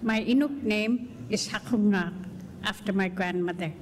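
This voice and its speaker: An older woman from the Canadian Arctic giving slow, measured, earnest personal testimony as a residential school survivor. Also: mid-range voice, long reverb tail, a little hiss or hum. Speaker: residential school survivor telling her story.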